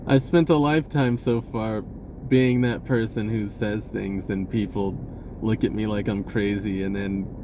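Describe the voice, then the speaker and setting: A man giving a rambling, casual spiritual monologue in a halting, informal and blunt way, swearing at times. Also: fairly low pitch, noisy background. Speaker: vlogger speaking a personal message.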